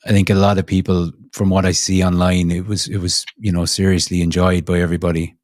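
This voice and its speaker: A deep-voiced man hosting a casual podcast, friendly and steering the talk with questions. His voice sits low and sounds dry and close. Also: little pitch movement, fast, darkish tone, no echo. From Ireland. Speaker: host. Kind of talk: casual chat.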